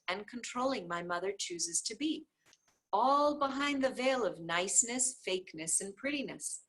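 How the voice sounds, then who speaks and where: Female book reader, informal and emphatic, lively intonation, breathy-husky tone. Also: mid-range voice, rough recording. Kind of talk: book read aloud, informal.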